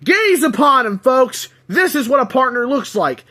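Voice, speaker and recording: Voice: high voice, big pitch swings, moderate pace, bright, forceful tone, full character voice. A performer voicing a gleeful, scheming demon villain in a theatrical, boastful and mocking storybook reading. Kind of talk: dramatic character audiobook reading.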